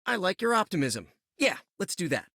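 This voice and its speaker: A man's voice: high-pitched, sing-song, fairly quick, creaky, bright, forceful tone, no echo, studio quality, exaggerated voice. An actor playing a joking young male web-slinging hero with a playful, quippy manner in a video game.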